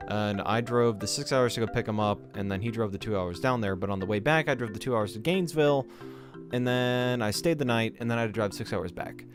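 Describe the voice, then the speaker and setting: Fast-talking, stammering young man vlogging. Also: lowish voice, over music. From Georgia, USA. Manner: fast excited rambling with stutters and fillers. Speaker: gaming channel host. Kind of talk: casual vlog talk to camera.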